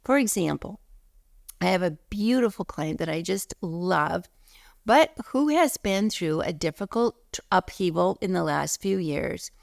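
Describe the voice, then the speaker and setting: A middle-aged woman, a spiritual teacher, speaking in an earnest teaching talk, a little hoarse while recovering from illness, slowing down to stress words. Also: on the low side, animated, no echo, studio quality. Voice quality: froggy, gravelly.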